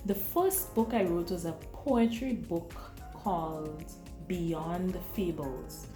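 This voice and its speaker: A woman with a Grenadian accent, a visiting author who reads a story aloud to a class and then gently answers the children's questions. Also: fairly low pitch, slow pace, echoey room, soft background music. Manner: gentle, encouraging, dramatic when reading.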